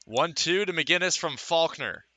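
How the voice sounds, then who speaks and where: A man's voice: on the high side, expressive, a little slow, pushed and bright, no room sound. A man calling live baseball play-by-play for radio. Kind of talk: live radio sports commentary.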